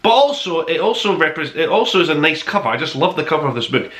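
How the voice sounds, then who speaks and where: Bright-voiced man in an echoey room. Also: a little high, sing-song, fast talker. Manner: enthusiastic, effusive; rambling, conversational. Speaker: podcast host reviewing books. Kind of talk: casual video book review to camera. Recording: roomy, echoing room sound.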